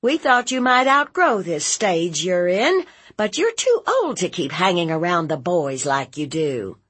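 A woman's voice: lowish voice, very animated, unhurried, a bit bright, husky, voice pushed up, heavily acted. A woman voicing a fierce, blunt, protective aunt in a story, scolding in an emphatic, folksy, interrogating way. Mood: exasperated, concerned.

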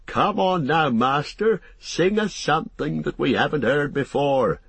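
A man's voice: a little high, unhurried, creaky, fairly bright tone, husky, no room sound, voice pushed up, full character voice. A man voicing an eager, rowdy male hobbit calling out insistently in a tavern tale. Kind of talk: audiobook character acting.

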